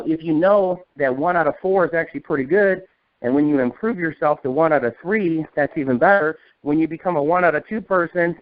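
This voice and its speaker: Tinny phone-line American man, slightly high-pitched. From northern United States. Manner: fast talker, folksy. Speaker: sales agency leader and trainer. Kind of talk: motivational sales training talk. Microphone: telephone. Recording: narrow, tinny conference-call phone line.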